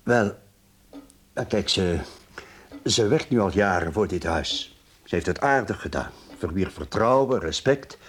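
An actor playing a worried, tactful boss in a radio drama, halting and husky. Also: male voice, on the low side, heavily acted. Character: Willem, hesitant, worried male workplace boss.

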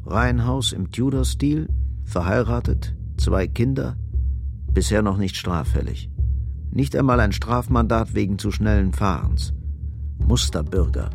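A man narrating a scripted crime drama in a measured, sober manner. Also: on the low side, animated, gravelly, a little mellow. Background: music.

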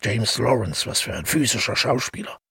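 A male actor playing a brooding, self-doubting former stage actor in a radio drama monologue, musing haltingly in a husky, breathy voice. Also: mid-range voice, big pitch swings, bright, forceful tone, dry recording, studio quality, full character voice. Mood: musing pride. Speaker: narrator and lead of a radio play. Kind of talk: intimate first-person radio drama monologue.

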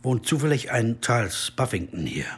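An actor playing a wry, puzzled investigator who narrates a radio crime drama in a terse, musing way. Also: male voice, medium pitch, very animated, normal speed, husky. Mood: polite. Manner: musing, terse, asking himself questions.

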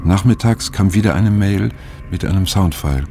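Weary older guy looking back, really low creaky voice, radio play, faint noise behind. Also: normal speed, dark tone. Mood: brooding. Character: weary, unsettled older man looking back on his youth. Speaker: narrator and main character. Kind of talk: introspective audio drama narration and phone dialogue.

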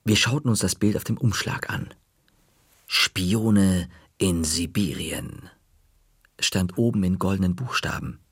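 A man narrating a children's story in the first person, calm, dropping to a whisper in quiet moments. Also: fairly low pitch, brisk, few pauses, husky, dry recording, clean studio sound.

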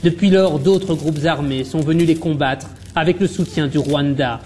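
A man narrating a scripted news report in an even, measured way. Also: a little high, a little hiss or hum.

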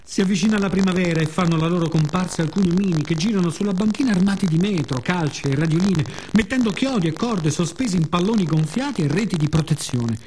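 A man as the playful, boastful, mellow sea. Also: high voice, a little hiss or hum, pitched above the performer's own voice, close to an everyday voice. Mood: mocking. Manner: playful, musing, drawn-out words, sound imitations. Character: the sea, playful boastful old-as-nature being, mocking humans.

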